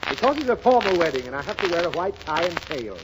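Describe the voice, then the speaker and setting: A man's voice: a little high, sing-song, fast talker. A man playing an exasperated, blustering father who scolds impatiently in a 1930s radio comedy. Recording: noisy, dull 1930s radio broadcast recording.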